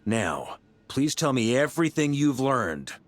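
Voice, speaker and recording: An actor playing a bold, easygoing knight commander in a video game, giving commands in a relaxed, friendly way. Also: male voice, mid-range voice, measured pace, a little hiss or hum. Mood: steady command. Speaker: Grandmaster of a knightly order.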